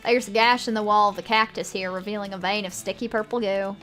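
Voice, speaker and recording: A woman's voice: mid-range voice, fairly even intonation, quick pace, a bit bright, faint music behind. A woman with a put-on Southern US accent, casually commenting on a game and reading its text aloud. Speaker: game streamer.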